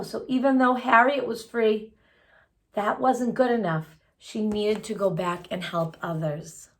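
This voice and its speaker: A woman reading a children's picture book aloud, phrasing it expressively and adding simple explanatory asides for young listeners. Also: mid-range voice. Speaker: children's story reader.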